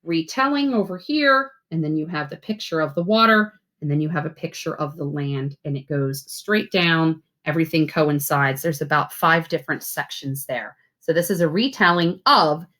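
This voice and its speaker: A woman reading aloud as a teacher to schoolchildren, with expressive, deliberate phrasing. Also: low-pitched. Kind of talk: read-aloud storytelling and simple instructions for children.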